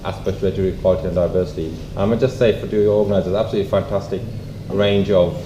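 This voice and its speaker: A man making measured, courteous comments at a community event in fairly formal wording. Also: deep voice, fairly even intonation. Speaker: event speaker. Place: echoey room at a public event. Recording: dull, echoey, noisy room recording.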